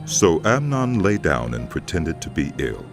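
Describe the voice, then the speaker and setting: Male scripture narrator, extremely deep and creaky, expressive dramatic reading over loud music. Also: a little slow.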